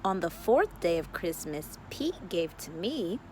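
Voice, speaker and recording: Woman's lively sing-song children's read-aloud. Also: fairly low pitch, a little slow, slight background noise, poor audio. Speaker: read-aloud story time host.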